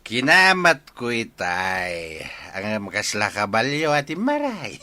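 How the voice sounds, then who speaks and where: A man's voice: fairly low pitch, wildly swooping pitch, slow pace, pushed and bright, a little hiss or hum, exaggerated voice. A man with an Ilocano accent playing a brash, hot-tempered middle-aged village father in a comedy radio drama, blustering and defiant. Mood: grumbling, boastful.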